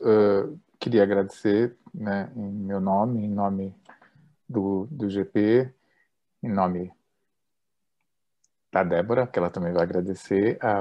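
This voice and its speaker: A man's voice: fairly low pitch, warm tone. A Brazilian man moderating an online academic debate, polite and conversational with some hesitation, over a video call. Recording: dull, lo-fi video call audio.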